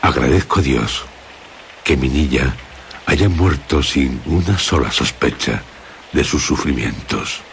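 Grim gothic radio storyteller, super gravelly, slow and solemn, anguished, faint rainy noise behind. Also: male voice, rumbling low, lively intonation, a bit bright, heavily acted. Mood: sombre, bitter. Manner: solemn, halting, dramatic. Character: sombre, very deep-voiced gothic storyteller reading anguished letter. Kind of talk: gothic radio drama narration.

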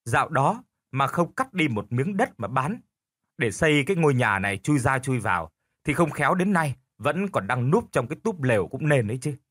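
A sharp-tongued older wife in an audio story, played by a male narrator, bitter and mocking. The delivery is reproachful and lifted in pitch, with lively swings and a dry sound. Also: normal speed, dry recording. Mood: sarcastic, resentful. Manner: sarcastic, reproachful.

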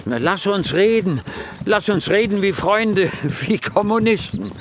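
A man's voice: sits high, animated, measured pace, background noise. An actor playing a conciliatory comrade in a radio drama, hesitant and placating as he pleads with his friend.

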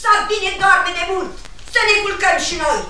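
Shrill, scolding townswoman. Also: bright, forceful tone, slight background noise. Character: scolding, indignant townswoman at her window. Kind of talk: theatrical radio comedy acting. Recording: distant, very echoey, faint music.

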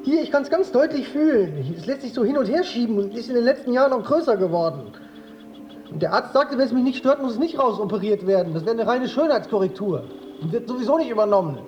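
A man's voice: very high-pitched, animated, fast, darkish tone. An actor in a radio drama playing an anxious, self-absorbed hypochondriac man, fretful and full of self-questioning. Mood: panicked. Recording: dull band-limited sound, music behind.

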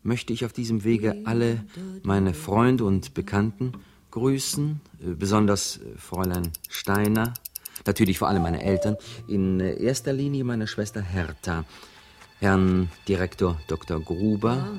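An actor playing a restless, polite man eager to leave, with formal, halting speech, in an experimental radio play. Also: fairly low pitch, natural voice. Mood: halting, reciting. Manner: formal, halting, recites lists. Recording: studio radio play with music behind.

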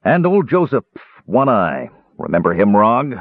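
A man acts out a crusty old dwarf from a fantasy storybook. The voice is rough and husky, snappish and full of scorn, with folksy grammar and swooping emphasis. It comes through a narrow, phone-like band. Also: lowish voice, big pitch swings, a little slow, no room sound, exaggerated voice. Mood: wistful grumbling. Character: gruff, cantankerous old male dwarf arena keeper.

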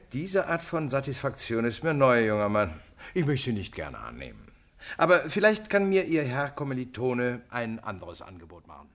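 An actor playing a calm, middle-aged male police detective in a radio drama, measured and dryly ironic. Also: medium pitch. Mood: amused, ironic. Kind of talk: German radio drama acting.

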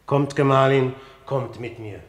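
An actor playing an authoritative, smooth-talking middle-aged king in a classical radio drama, speaking formally and with regal measure. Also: mid-range voice, measured pace, natural voice. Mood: stern, courtly. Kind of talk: classical German stage-verse radio drama acting.

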